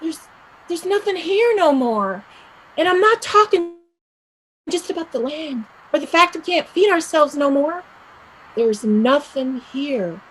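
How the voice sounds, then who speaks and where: A woman playing a restless young Irish village woman set on emigrating, speaking emphatically and deliberately in a stage play reading. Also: high voice, expressive, a bit bright, slight background noise, poor audio. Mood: bitter, insistent.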